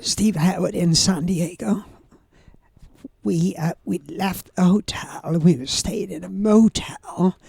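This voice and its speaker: A man in his seventies with a Welsh accent and a croaky voice, telling stories casually as a guest, rambling with lots of fillers. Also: sits high, a little mellow, no echo. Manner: rambling, halting, with many fillers. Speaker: guest surfer. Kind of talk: casual storytelling.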